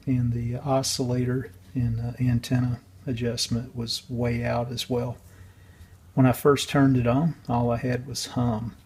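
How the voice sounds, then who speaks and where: A man's voice: medium pitch, darkish tone, husky. A man giving a casual, unscripted workbench walkthrough in a hesitant, matter-of-fact way. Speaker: radio restorer and video host.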